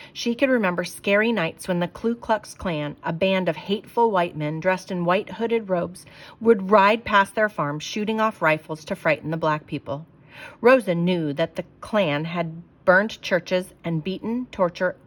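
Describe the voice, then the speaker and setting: A woman reading a children's picture book aloud, telling the story clearly and expressively. Her voice sits slightly low for a woman. She reads at a steady storytelling pace. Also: a little slow.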